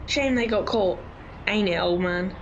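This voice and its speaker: A woman's voice: mid-range voice, measured pace, highs cut off, slight background noise, close to an everyday voice. A cheeky young pickpocket from an audio drama voiced by a woman, full of schemes. The manner is brash and streetwise. A faint background ambience surrounds the voice. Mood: scheming.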